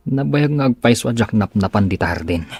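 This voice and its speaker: Grumpy Ilocano invalid. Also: male voice, lowish voice, darkish tone, breathy edge, exaggerated voice. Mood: sullen. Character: grumpy, stubborn sick male patient, softening under teasing. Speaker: radio drama actor. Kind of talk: Ilocano radio drama acting.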